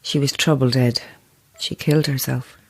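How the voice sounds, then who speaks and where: A woman's voice: rumbling low, fairly even intonation, moderate pace, breathy edge, full character voice, poor audio. A woman playing a composed, sceptical novelist in an audio drama, with a dry, understated and guarded manner. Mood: subdued, wry. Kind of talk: dramatic audio fiction acting.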